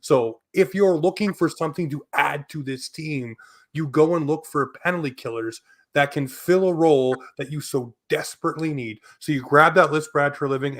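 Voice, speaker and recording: A man's voice: mid-range voice, fairly bright tone. A man co-hosting a sports podcast, giving casual commentary in an emphatic, opinionated way. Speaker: hockey podcast co-host.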